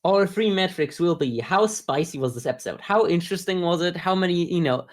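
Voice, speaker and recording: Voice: medium pitch, animated, a little fast. A podcast co-host talking casually through a review, with informal, sweary phrasing.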